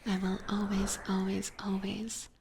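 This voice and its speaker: A young woman streaming ASMR, whispering softly into a close microphone as she chats with viewers. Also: medium pitch, completely flat delivery, unhurried, gravelly, noisy background. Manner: soft whisper, reading and answering chat. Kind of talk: whispered ASMR livestream chat.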